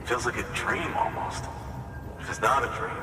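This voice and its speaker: An actor playing a grateful, hopeful settlement worker, informal and earnest, in a video game cutscene. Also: male voice, mid-range voice, normal speed, natural voice. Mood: hopeful wonder. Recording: distant, echoey hall with clear noise.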